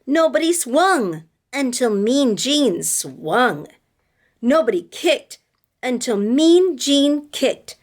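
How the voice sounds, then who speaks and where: High, animated woman reading to children. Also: takes it slowly. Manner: addresses children, dramatic emphasis. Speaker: read-aloud storyteller, teacher-like. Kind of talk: expressive children's picture-book read-aloud.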